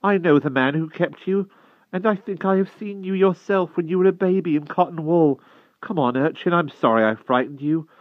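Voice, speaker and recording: A man's voice: a little high, normal speed, dry recording, voice pushed up, natural voice. A man voicing an earnest young boy who coaxes and grows impatient. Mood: coaxing. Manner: coaxing, then exasperated. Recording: tinny phone-like dry sound.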